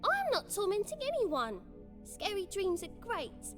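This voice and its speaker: A woman's voice: very high-pitched, wildly swooping pitch, unhurried, fairly bright tone, soft background music, exaggerated voice. An actress voicing a mischievous, playful little girl godling in a video game, with a childlike, teasing British manner of speech. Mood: playful, defiant. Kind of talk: video game character acting.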